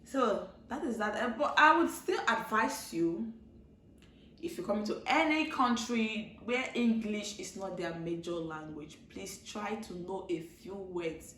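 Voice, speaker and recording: A woman's voice: medium pitch, animated, a little slow, a bit bright, a little hiss or hum. A young woman from Nigeria talking casually to her viewers, rambling with restarts and repeated words. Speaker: vlogger, international student. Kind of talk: casual talk to camera. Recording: echoey room with faint ambience.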